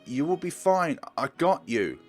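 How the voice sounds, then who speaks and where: A man's voice: a little high, sing-song, a little slow, faint music behind, voice pushed up. Man playing an urgent, pleading young policeman. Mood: distressed.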